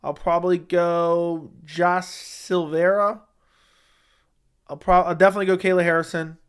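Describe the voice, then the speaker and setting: A man's voice: high-pitched, level tone, normal speed, smooth. Blunt, opinionated man hosting a livestream. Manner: blunt, opinionated, repeats himself for emphasis. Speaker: MMA commentary livestream host. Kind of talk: casual livestream chat reading viewer questions.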